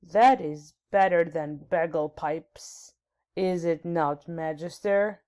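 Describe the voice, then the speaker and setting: A woman's voice: fairly low pitch, takes it slowly, darkish tone. A woman voicing a big friendly male storybook giant who talks in ungrammatical, jumbled words. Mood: exuberant.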